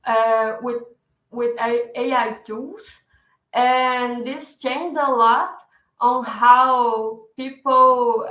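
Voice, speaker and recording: Brief: young Brazilian woman, raised voice, unhurried webinar host in an echoey room. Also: a little high, fairly bright tone. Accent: Brazilian Portuguese. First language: Portuguese. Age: 20s. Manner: careful, searching for words, often repeating herself. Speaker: content marketing specialist presenting a webinar. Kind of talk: webinar presentation.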